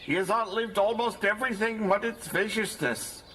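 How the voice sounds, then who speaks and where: An actor playing a gossipy, wry rustic farmer in an audio drama, with a theatrical storytelling delivery. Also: male voice, high voice, moderate pace, sharp tone. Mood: sly, reassuring. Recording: faint background noise.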